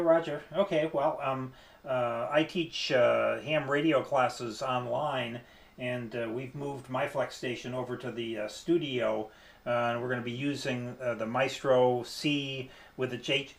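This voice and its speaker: A man talking casually as an amateur radio operator, with hesitant, halting phrasing. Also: mid-range voice, steady tone, creaky. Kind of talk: casual ham radio conversation. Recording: echoey, roomy sound.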